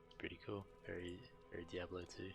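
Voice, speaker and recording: A man giving casual, matter-of-fact and slightly halting gameplay commentary as a streamer, reading on-screen text aloud. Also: low-pitched, monotone, creaky, music in the background. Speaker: game streamer.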